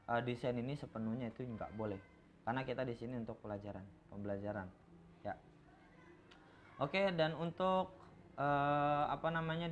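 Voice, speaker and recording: A man giving an informal software tutorial, explaining in a halting, self-repeating way as a teacher. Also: mid-range voice. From Indonesia. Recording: dull lo-fi microphone, clear background noise.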